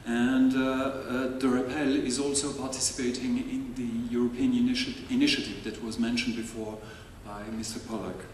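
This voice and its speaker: A man from Belgium calmly explaining his organization to a panel audience. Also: medium pitch, normal speed, hardly any pauses. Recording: distant mic, echoey hall, faint noise.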